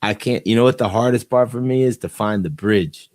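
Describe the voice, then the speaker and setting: A man with a low voice hosting a relaxed call-in chat. He asks curious, easygoing questions and lets the guest talk. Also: fairly quick. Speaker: host. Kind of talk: casual chat on a call-in show.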